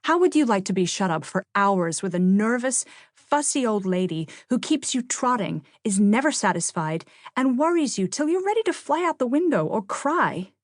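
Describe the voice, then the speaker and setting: A woman's voice: a little high, sing-song, moderate pace, no room sound, studio quality, voice pushed up. A woman voicing a tomboyish, bookish teenage girl with a blunt, emphatic, grumbling manner in an audiobook. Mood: exasperated.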